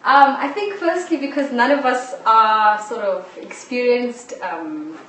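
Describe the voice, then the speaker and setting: A woman's voice: on the high side, expressive, a little slow, fairly bright tone. A woman explaining her work as a drama producer in an interview. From South Africa. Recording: echoey, distant live stream, faint noise.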